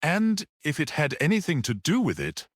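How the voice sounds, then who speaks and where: A man voicing a mild, quietly grave little priest-detective in an audiobook, gentle and matter-of-fact. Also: a little high, huge pitch swings, normal speed, dry recording, studio quality, pitched above the performer's own voice, exaggerated voice. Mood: gently reasoning.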